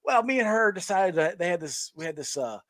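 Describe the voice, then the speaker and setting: A middle-aged man hosting a casual sports chat, telling stories in a rambling, animated way with many restarts and laughs. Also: sits high, brisk, no room sound, studio quality. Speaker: podcast host.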